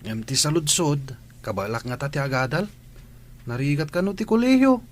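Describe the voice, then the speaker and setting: Man as teasing school friend, youthful, casual delivery, slightly high male voice, radio play. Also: lively intonation, moderate pace, a little hiss or hum, close to an everyday voice. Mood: chatty teasing. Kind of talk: radio drama acting.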